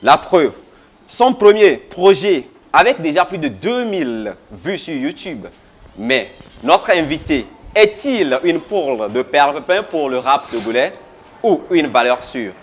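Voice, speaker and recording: A man's voice: a little high, big pitch swings, measured pace. A man presenting a talk show, reading a scripted opening with dramatic build-up. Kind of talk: scripted introduction.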